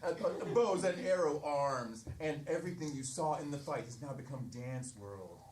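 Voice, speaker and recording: A woman's voice: low voice, a little mellow. A woman improv comedian narrating comic scenes off the cuff in short piled-up phrases, live on a theatre stage. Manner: halting, piling up short phrases. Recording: distant echoey stage recording with noise.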